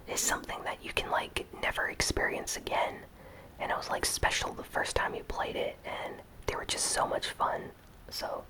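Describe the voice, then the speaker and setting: A gaming YouTuber chatting casually in a soft whisper while reading out and answering viewer comments. The speech rambles and is filled with "like". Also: rough recording. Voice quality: whispered, breathy, unvoiced delivery. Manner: relaxed, rambling, lots of "like" fillers.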